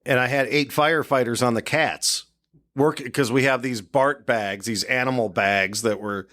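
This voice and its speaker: Mid-pitched man hosting casually. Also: normal speed, sharp tone. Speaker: podcast host and fire officer. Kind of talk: casual discussion.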